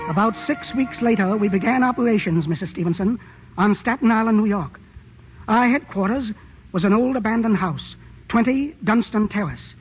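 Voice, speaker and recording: An actor playing a timid, fearful older chemist, speaking formally and precisely in a 1940s-style radio drama. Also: male voice, sits high, animated, moderate pace, faint music behind. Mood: grave confession. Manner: formal, precise, methodical phrasing. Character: timid, fearful aging male chemist confessing crimes. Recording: muffled, very noisy old radio recording.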